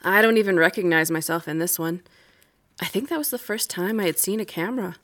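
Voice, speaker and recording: A woman acting a weary, resentful wife in an audio drama, speaking in a measured, pointed way with quiet bitterness. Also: fairly low pitch, natural voice. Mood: guarded, subdued.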